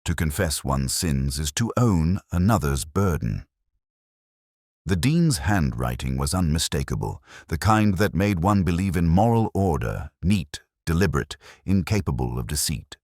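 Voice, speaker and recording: A man with an extremely low voice narrates a detective mystery. His delivery is measured and brooding, yet the pitch moves in lively, expressive swings. The phrasing is literary and carefully scripted. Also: dry recording, studio quality.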